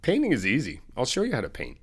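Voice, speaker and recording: A man's voice: medium pitch, wildly swooping pitch, quick pace, slightly rough. A man playing an easygoing, helpful friend in an ASMR roleplay, talking in a casual, reassuring, conversational way. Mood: upbeat, reassuring. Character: easygoing, helpful male friend helping someone move in.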